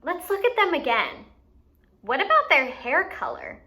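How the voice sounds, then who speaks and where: A woman's voice: sits high, very animated, measured pace, sharp tone, a little hiss or hum. A woman hosting a children's educational activity, playfully giving instructions and asking questions as if to young kids. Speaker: children's story time host and teacher.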